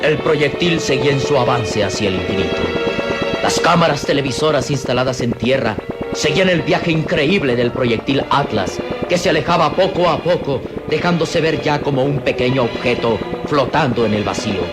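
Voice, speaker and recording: A man's voice: medium pitch, lively intonation, normal speed. A man narrating a radio serial from a script in a grave, emphatic, suspenseful way. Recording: old radio drama with loud music.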